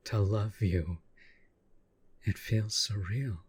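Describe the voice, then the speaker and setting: Casting: possessive male demon lover played by a man for audio roleplay. Low husky voice, unhurried, formal, intense. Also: heavily acted. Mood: ardent, adoring. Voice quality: husky, breathy. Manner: slow, formal, deliberate, intense. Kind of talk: intimate scripted audio roleplay monologue.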